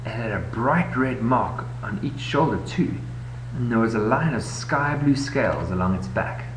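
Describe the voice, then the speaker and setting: A man reading a children's bedtime story aloud in an expressive way, recorded on a webcam. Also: medium pitch, moderate pace. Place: indoor room, webcam recording. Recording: echoey room, noisy webcam audio with hum.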